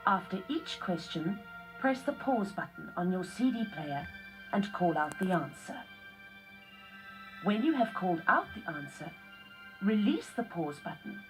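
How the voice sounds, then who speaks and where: A woman giving scripted narration on a children's read-along, with a deliberate, instructional storyteller delivery. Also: mid-range voice, poor audio.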